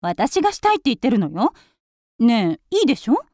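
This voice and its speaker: Radio drama: actress as spoiled rich young wife, impulsive and coy, high, very animated delivery. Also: female voice, brisk, dry recording, studio quality. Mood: excited, pleading. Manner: coy, affected, theatrical. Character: impulsive, vain upper-class young wife, coaxing her husband.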